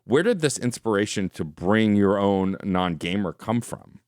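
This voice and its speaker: A man's voice: on the low side, animated, normal speed, darkish tone, clear, resonant tone, no room sound, studio quality. A man co-hosting a gaming podcast, enthusiastic and rambling, full of "like"s and laughs. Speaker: podcast co-host. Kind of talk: casual podcast chat.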